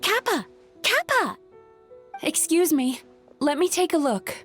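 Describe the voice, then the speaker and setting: An actress voicing a gentle, caring and reassuring young woman in a video game. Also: high voice, big pitch swings, takes it slowly, faint music behind, exaggerated voice. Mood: worried.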